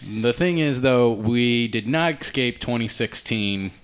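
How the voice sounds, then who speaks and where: A man's voice: mid-range voice, unhurried, clean tone. A man hosting a casual panel podcast, chatting about media in a conversational way. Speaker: podcast host.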